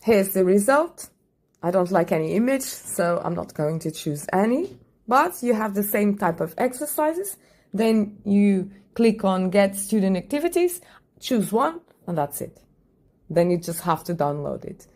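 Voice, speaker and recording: A woman with a mother tongue, a teacher hosting an enthusiastic software tutorial voice-over. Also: mid-range voice, sing-song, a little slow. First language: Portuguese. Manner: enthusiastic, upbeat. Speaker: teacher and channel host.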